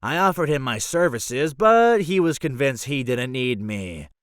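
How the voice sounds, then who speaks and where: A roguish male mercenary acted by a man for an audio drama, flippant and full of swagger. His delivery is highly animated and swoops around in pitch, recorded close and dry with no room sound. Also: a little slow, smooth, no echo. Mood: smooth, businesslike. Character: roguish, cocky, theatrical male mercenary leader.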